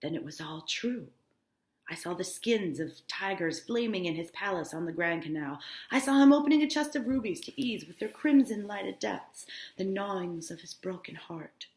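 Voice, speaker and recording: A woman's voice: mid-range voice, animated, moderate pace, a little mellow, reverberant. A woman, a teacher reading a novel aloud and breaking off to explain it plainly. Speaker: high school English teacher.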